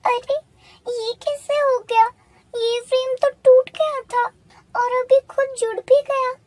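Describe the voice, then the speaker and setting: Very high, meek little orphan girl. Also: fairly even intonation, slow, darkish tone. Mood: amazed. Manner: pleading, meek, childlike. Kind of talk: animated moral story dialogue.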